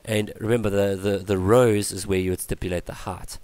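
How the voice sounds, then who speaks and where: A man's voice: lowish voice, fairly even intonation, brisk, darkish tone, no room sound. A man teaching a coding tutorial over a screencast, thinking aloud with fillers and self-corrections. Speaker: tutorial instructor.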